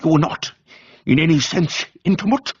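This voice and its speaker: Man acting a gruff, husky young firebrand. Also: expressive, moderate pace, lo-fi sound. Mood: menacing. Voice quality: low, guttural. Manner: blunt, clipped, emotional. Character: fiery gruff intense young left-wing male agitator. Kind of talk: dramatised audiobook reading.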